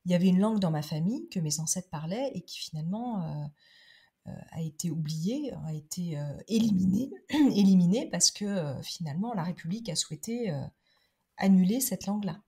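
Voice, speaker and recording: A woman's voice: lowish voice, lively intonation, fairly quick, warm tone. A middle-aged woman giving a conversational interview as a podcast guest, thoughtful, with many hesitations and restarts. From France.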